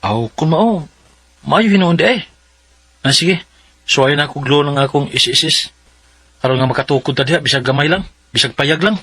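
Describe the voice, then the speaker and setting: An actor with a Cebuano accent playing an agreeable, modest husband in a radio drama. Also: male voice, a little high, moderate pace, long pauses, pushed and bright. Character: agreeable husband planning a modest family house.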